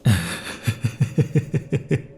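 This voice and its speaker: Casting: a man playing a clingy, possessive immortal male lover; hushed, sighing, unhurried delivery, dark mellow tone. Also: mid-range voice, expressive, gravelly, full character voice. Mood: brooding. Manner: soft, sighing, lingering murmur. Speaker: ASMR roleplay performer. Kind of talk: ASMR roleplay.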